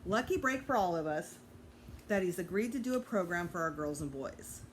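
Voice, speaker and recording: A woman's voice: on the low side, expressive, moderate pace, slight background noise, close to an everyday voice, poor audio. Woman reading as a patient male schoolteacher. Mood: conciliatory. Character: patient, good-humored male school teacher. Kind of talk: children's storybook character voice.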